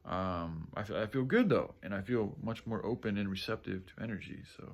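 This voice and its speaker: A man with a groggy, tired voice talking casually to viewers in a halting, self-correcting, sweary ramble, often clearing his throat. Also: fairly low pitch, lively intonation. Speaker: vlogger.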